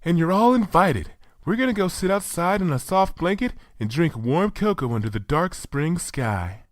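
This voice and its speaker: A man voicing a shy, gentle young pony mare who speaks in a timid, hesitant way. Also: on the high side, expressive, normal speed, no echo, clean studio sound, voice pushed up, close to an everyday voice. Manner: timid, soft-spoken, hesitant.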